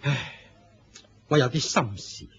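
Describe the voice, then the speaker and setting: An actor playing a proud, jealous fire-sect leader in a Cantonese radio drama, with a pompous, theatrical manner and a Hong Kong accent. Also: male voice, mid-range voice, takes it slowly, vocal fry, a bit bright, slightly rough, full character voice. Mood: troubled, evasive. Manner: pompous, theatrical, shifting from courteous to scornful. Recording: dull lo-fi radio drama recording.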